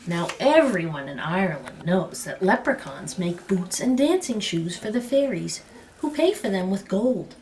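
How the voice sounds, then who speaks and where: A woman storyteller reading a picture book aloud with expressive, dramatic and emphatic phrasing. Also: medium pitch, measured pace, slight background noise, poor audio.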